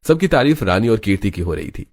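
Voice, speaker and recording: A man reading scripted narration for an animated family drama story as the storyteller. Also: on the low side, fast.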